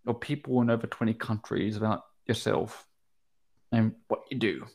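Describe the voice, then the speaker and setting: A man's voice: fairly low pitch, fairly even intonation, moderate pace, creaky, darkish tone. A young man hosting a casual podcast interview, talking hesitantly with many restarts. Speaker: podcast host.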